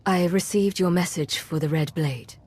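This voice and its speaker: Low, husky secret agent. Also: female voice, moderate pace, darkish tone. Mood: wry, guarded. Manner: terse, controlled, wary. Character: cool, self-reliant female secret agent, loyal and guarded. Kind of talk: video game voice acting.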